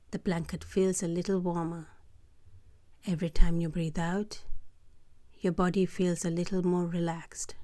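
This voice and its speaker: A woman gently guiding children through a scripted bedtime meditation, soothing and hushed, often close to a whisper, in short phrases with pauses. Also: lowish voice, no room sound. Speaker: host of a children's sleep meditation.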